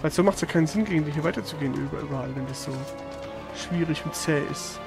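High-pitched, creaky, grumbling male gamer. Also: fast. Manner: grumbling, irritable, blunt. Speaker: let's player. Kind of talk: casual gaming commentary. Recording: game music behind. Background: video game music.

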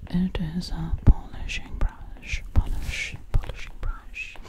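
A woman doing ASMR in a breathy whisper, very close to the mic, soothingly repeating words over and over. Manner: slow, soothing, hypnotic repetition of words. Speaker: ASMR video creator. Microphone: very close ear-to-ear microphone.

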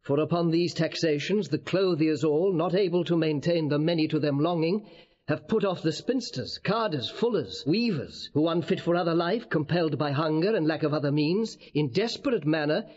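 A blunt, urgent nobleman in a classical audio drama. His voice sits fairly high, in a slightly dulled recording. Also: expressive, normal speed, darkish tone, muffled recording. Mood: urgent, emphatic.

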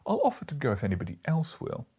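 A man's voice: mid-range voice, huge pitch swings, fast talker, dark tone, slightly rough, pitched above the performer's own voice, exaggerated voice. A man voicing smug Victorian businessmen making breezy, clipped small talk in a storybook reading. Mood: jovial, flippant.

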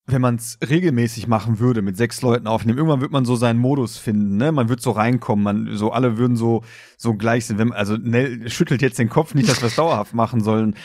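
A man co-hosting a podcast in casual chat, halting and often rephrasing as he goes. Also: medium pitch, darkish tone. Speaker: podcast co-host.

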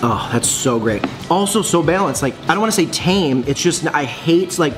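A man in his forties vlogging in a bright coffee shop, excitable and gushing, laughing often as he tastes dishes. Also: fairly high pitch, normal speed, music in the background. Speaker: food vlogger. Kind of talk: casual food review chat. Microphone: phone camera at arm's length.

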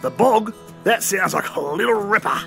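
A woman's voice: medium pitch, expressive, normal speed, gravelly, fairly bright tone, soft background music, voice pushed up. A woman voicing a cheery young male Australian backpacker in a homemade stop-motion cartoon, slangy and laid-back. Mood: easygoing, matey.

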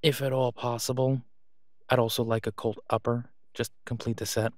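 A man's voice: medium pitch, level tone, moderate pace, dry recording, studio quality, lightly acted. A man playing a reluctant, awkward werewolf pack alpha in an audio roleplay, with a hesitant, casual, understated delivery. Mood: businesslike. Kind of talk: naturalistic audio roleplay acting.

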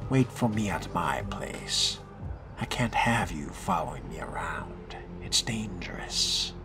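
A man role-playing a suave, brooding male vampire in a video game, speaking in a wry, measured murmur. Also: deep voice, expressive, in a whisper, over music, full character voice. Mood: smooth, controlling.

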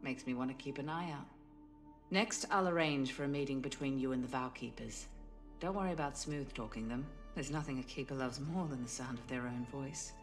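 An actor playing a hard, cold rebel leader in a video game, giving terse, threatening orders. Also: male voice, medium pitch, fairly quick, soft background music, natural voice. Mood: wry, guarded. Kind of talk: scripted video game dialogue.

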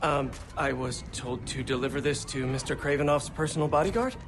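An actor with an American accent playing a young, wisecracking, slightly awkward superhero in a video game cutscene. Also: male voice, mid-range voice, steady tone, fairly quick, gravelly, noisy background, close to an everyday voice. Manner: quippy, hesitant asides. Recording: loud music behind.

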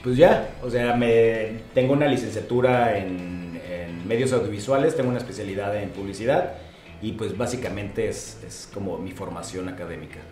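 A man's voice: on the low side, moderate pace, a little mellow, faint music behind. A man from Guadalajara with a Mexican accent, co-hosting a podcast in casual talk, joking and stumbling over repeated words. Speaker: podcast co-host. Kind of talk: casual conversation. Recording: echoey room, distant mic, faint noise.

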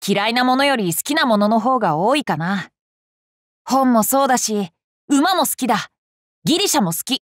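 A young boy king from an anime game, high and very animated in pitch. He is cheerful and curious, with a polite, playfully confident way of talking. The sound is close and dry. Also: moderate pace, no echo. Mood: friendly, easygoing. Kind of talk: Japanese anime game character lines.

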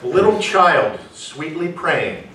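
A man playing an odd, withdrawn loner in a staged reading, halting, evasive and cryptic. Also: fairly high pitch, lively intonation, fairly bright tone. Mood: guarded. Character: odd, withdrawn male loner muttering in riddles. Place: small performance room with audience, far camera. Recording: distant echoey room with faint noise.

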